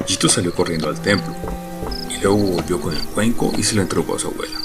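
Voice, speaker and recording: A man's voice: low voice, fast, over loud music. A man narrating a scripted audio drama as its storyteller.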